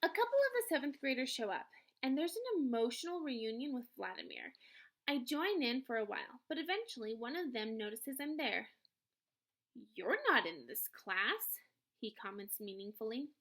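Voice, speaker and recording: A woman narrating a children's novel aloud in an expressive storytelling style. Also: high-pitched, a little fast.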